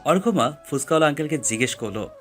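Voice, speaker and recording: A man's voice: on the high side, lively intonation, fast talker, fairly bright tone, faint music behind. A man reading scripted story narration as the narrator.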